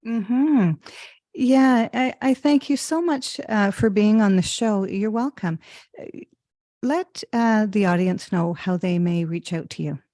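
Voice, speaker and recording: Dark-voiced woman hosting a podcast. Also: mid-range voice, dry recording, studio quality. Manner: halting, full of ums and uhs and restarts. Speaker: podcast host. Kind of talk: interview conversation.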